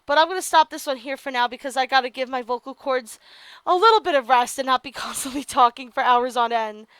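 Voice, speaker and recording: A young woman with a high voice reads game narration aloud and slips into chatty asides to her audience. She is losing her voice after a long stream, and the sound is close and dry. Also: a little fast, fairly bright tone, smooth, dry recording. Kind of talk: reading a visual novel aloud with live commentary.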